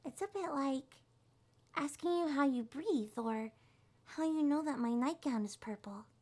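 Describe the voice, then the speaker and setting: A woman voicing a small, shy, gentle monster girl in an ASMR roleplay, speaking softly, hesitantly and reassuringly. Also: high-pitched, a little slow. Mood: gentle, chatty. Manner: hesitant, soft-spoken, reassuring.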